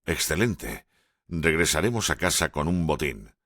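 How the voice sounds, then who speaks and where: A calm, commanding middle-aged naval lieutenant, read by a male audiobook narrator speaks in a very deep, low voice. His delivery is measured and grave, and the sound is dry and close. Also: no echo, full character voice. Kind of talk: audiobook character dialogue.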